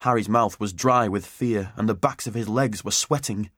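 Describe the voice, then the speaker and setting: A man's voice: fairly low pitch, normal speed, a bit bright, dry recording, studio quality. A man reading a children's story as an audiobook narrator, in scripted narration.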